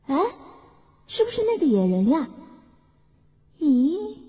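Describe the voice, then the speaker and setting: A woman's voice: high-pitched, big pitch swings, slow pace, long reverb tail, narrow, tinny audio, heavily acted. A woman voicing a nervous young woman exploring a cave, asking wavering questions.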